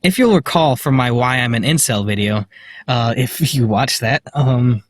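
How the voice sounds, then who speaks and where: A young man vlogging outdoors into a handheld phone. He tells a personal story in a quiet voice, full of fillers and self-deprecating nervous laughs. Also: mid-range voice, normal speed, no echo. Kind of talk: rambling personal story to camera. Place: outdoors, walking in public.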